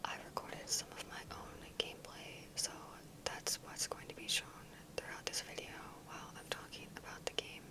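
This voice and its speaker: Girl doing asmr commentary, all whispered, soft n breathy, careful measured talking. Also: low-quality recording. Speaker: ASMR gaming video creator.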